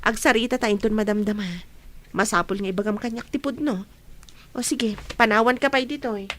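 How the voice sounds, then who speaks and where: High-voiced, earnest young Filipino woman played by an actress. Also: steady tone, fairly quick, a bit bright, natural voice. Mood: concerned, probing. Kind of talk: audio drama acting. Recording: faint background noise.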